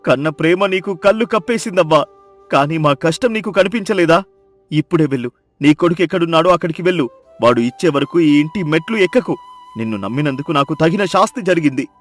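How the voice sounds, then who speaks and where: A man plays a village husband in a moral tale, his voice fairly high. He is hot-tempered and deeply remorseful, speaking melodramatically with music underneath. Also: animated, a little fast, close to an everyday voice. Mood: angry. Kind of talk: melodramatic moral-story dialogue.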